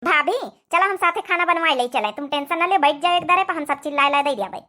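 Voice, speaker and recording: महिला की आवाज़ में तीखी, ऊँची अवधी देहाती घरेलू औरत. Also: female voice, sits high, quick pace, bright, forceful tone, faint music behind. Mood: cheerful, accommodating. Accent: Awadhi. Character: village women of an Indian family: mothers-in-law and young daughter-in-law. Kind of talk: cartoon family comedy drama voice acting.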